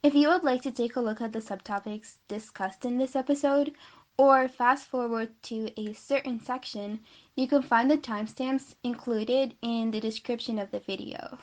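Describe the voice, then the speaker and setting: A young woman reads a podcast disclaimer in a somewhat high voice. Her delivery is steady and clearly scripted. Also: moderate pace. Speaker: podcast co-host. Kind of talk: reading a disclaimer.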